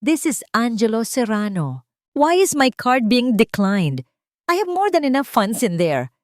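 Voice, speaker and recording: A woman voicing an arrogant, wealthy man who is forceful, demanding and impatient in a narrated audio drama. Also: fairly high pitch, sing-song, a little slow, no room sound, clean studio sound, voice pushed up. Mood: furious.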